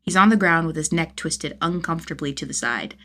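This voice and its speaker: A woman narrates fiction in a fairly low voice. Her reading is expressive and scripted, with intonation that moves widely through each line. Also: brisk. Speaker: podfic narrator.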